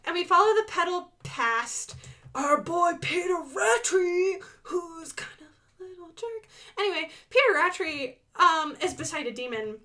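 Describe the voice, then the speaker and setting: A woman's voice: on the high side, bright, forceful tone. A woman giving casual, enthusiastic commentary on her own animation, playful, with sing-song asides and dramatic emphasis.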